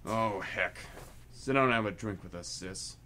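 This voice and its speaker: A man's voice: fairly low pitch, normal speed, pushed and bright. Man playing menacing drunk war veteran, noisy recording. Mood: boastful, needling. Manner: taunting, folksy, tough-talking. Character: menacing, boastful drunk war veteran, bullying older brother. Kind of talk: live amateur radio theatre acting. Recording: clearly noisy amateur radio drama recording.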